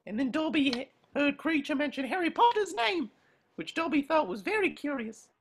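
A woman's voice: sits high, big pitch swings, moderate pace. A woman voicing a small, devoted male house-elf who speaks earnestly of himself in the third person. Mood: earnest, eager. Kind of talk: amateur table read of a film screenplay. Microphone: video call. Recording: faint noise on a video call.

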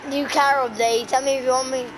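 A woman's voice: sits high, level tone, a little fast, noisy background. A young woman giving casual, sweary gameplay commentary, exhausted, with her voice nearly gone. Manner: slangy, sweary, addresses viewers as boys. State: exhausted and hoarse. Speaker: gaming video creator.

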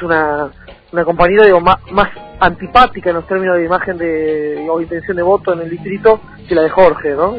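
Fast, high-voiced young Argentine man, husky. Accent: Buenos Aires Argentine. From Avellaneda, Buenos Aires, Argentina. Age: young adult. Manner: halting, many verbal tics and false starts. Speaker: political candidate being interviewed. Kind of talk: radio interview, spontaneous political talk. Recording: dull lo-fi radio audio with music.

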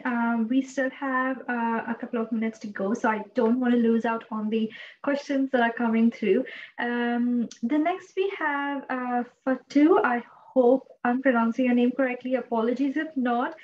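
A woman moderating a webinar Q&A, polite and a little hesitant, with many fillers, on a video call. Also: high voice. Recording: dull video-call audio, faint noise.

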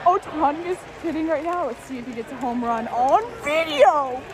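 A woman chatting excitedly to her phone camera while vlogging from an outdoor baseball stadium. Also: high-pitched, moderate pace, poor audio. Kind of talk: casual vlog narration. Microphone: phone camera held in hand. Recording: loud crowd and music behind. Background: loud crowd and stadium music.

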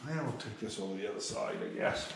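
An older man with a lowish voice talks through a tractor repair while he works. He is plain-spoken and thinks aloud, his voice picked up by a camera mic across a big shop. Clear background noise runs under the speech. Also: lively intonation, fast talker. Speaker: farm vlogger. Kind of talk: casual how-to narration. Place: large workshop barn. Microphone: camera mic, sometimes across the shop. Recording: noisy shop recording.

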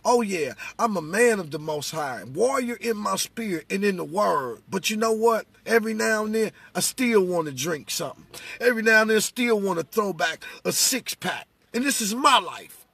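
A middle-aged American man with a high, gravelly voice and a husky edge. He preaches conversationally, testifying emphatically and repeating his points for weight. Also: bright, forceful tone, no echo. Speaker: religious speaker. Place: inside a parked vehicle.

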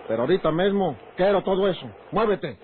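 A high-voiced Mexican ranch man in an old radio serial, bossy and quick to anger. He talks in a gruff, rustic, threatening way. The sound is thin and tinny with heavy noise. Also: animated. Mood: irritated, threatening. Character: bossy, short-tempered ranch man keeping secrets from his woman. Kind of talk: old radio drama acting.

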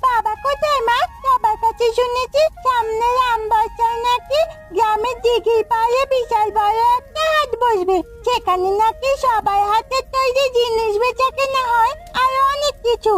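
একজন নারী কার্টুনে এক কৌতূহলী, জেদি ছোট্ট গ্রামের মেয়ের কণ্ঠ দিচ্ছেন, নাছোড়বান্দা আবদারের ভঙ্গিতে।. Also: female voice, very high-pitched, fairly even intonation, moderate pace. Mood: curious, pleading. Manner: pleading, insistent questioning. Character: inquisitive, stubborn little village girl. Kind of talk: Bengali cartoon fairy-tale acting. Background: background music.

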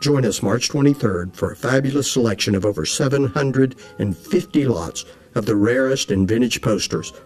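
A middle-aged man presenting an auction in scripted narration, measured and enthusiastic. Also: mid-range voice, breathy edge. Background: soft music.

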